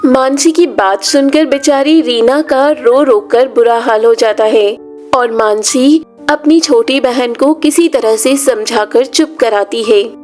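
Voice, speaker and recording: A woman storyteller reads a scripted children's tale. Her voice is high and she takes her time over each phrase. Music plays behind the narration. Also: slow pace, darkish tone. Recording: music under the voice.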